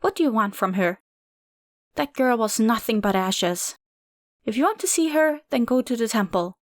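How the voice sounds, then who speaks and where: A woman's voice: on the high side, expressive, normal speed, dry recording, clean studio sound, natural voice. A woman reading a storybook who voices a bitter, foul-mouthed aunt in her fifties, barking and cursing at a young visitor. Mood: angry scornful.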